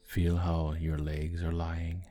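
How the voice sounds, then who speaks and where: A man with a low, mellow voice leads a guided sleep hypnosis over music. His delivery is soothing and gentle, with little rise or fall in tone. He leaves long pauses and softens at times toward a breathy near-whisper. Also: monotone. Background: background music.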